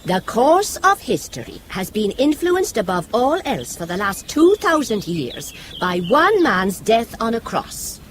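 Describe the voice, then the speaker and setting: A devout Irish woman who argues with righteous, sharp-tongued wit. Her Irish voice moves with lively intonation. It is radio drama dialogue, with slight noise behind it. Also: on the high side, unhurried, few pauses. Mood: firm, scornful.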